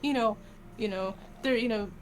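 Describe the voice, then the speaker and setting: Woman with a country accent, a bit high-pitched, loud and rowdy guest. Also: normal speed, a little hiss or hum, low-quality recording. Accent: rural American country. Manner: boisterous, rowdy, swears freely. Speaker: guest singer. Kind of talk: casual chat on a stream.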